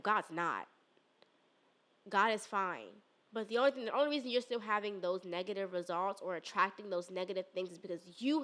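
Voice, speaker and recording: A woman podcast host talking earnestly in a casual spiritual chat, a little congested. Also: mid-range voice. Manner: earnest, animated, breaks into song.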